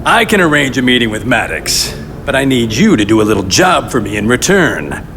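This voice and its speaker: Pompous, swooping crime boss. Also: male voice, medium pitch, big pitch swings, a little fast. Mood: smoothly bargaining. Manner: pompous, mock-courteous, theatrical. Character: glib, self-important male crime boss playing civilized negotiator. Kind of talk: video game cutscene acting. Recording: long reverb with loud music behind. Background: music.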